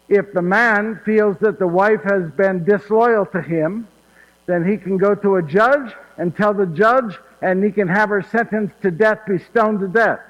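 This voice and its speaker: A man's voice: high voice, fairly bright tone, muffled recording. A man playing Joseph, fond storyteller. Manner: folksy, laughing, asks "yes?" often. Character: warm old Joseph of Nazareth, chatty storyteller. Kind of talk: one-man stage monologue. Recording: hall reverb.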